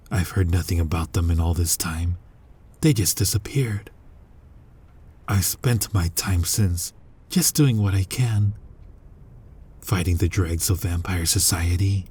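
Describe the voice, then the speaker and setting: A man playing a guilt-ridden, centuries-old vampire hunter who is himself a vampire, husky and halting, in a dramatic ASMR roleplay. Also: a little mellow, full character voice. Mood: brooding, regretful. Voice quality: husky, breathy low voice. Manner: slow, brooding, halting, often dropping toward a whisper.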